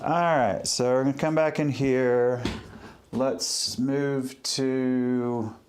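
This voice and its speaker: A man narrating his work casually as he goes, step by step, in short, halting phrases. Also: mid-range voice, steady tone, a little mellow, a little hiss or hum. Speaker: livestreaming audio producer.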